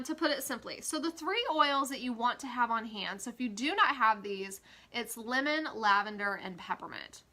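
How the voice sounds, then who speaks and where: A woman's voice: a little high, pushed and bright. A woman with a stuffy, congested voice chatting casually as an essential oil educator, with frequent fillers. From Kansas, USA. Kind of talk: casual social media live talk.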